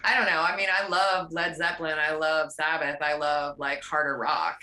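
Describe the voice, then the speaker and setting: A woman singer who grew up near Pittsburgh, chatting casually and at length in an interview. She has a low, strong rock voice. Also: normal speed. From USA, outside Pittsburgh. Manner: chatty, animated, rambling. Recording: roomy echo.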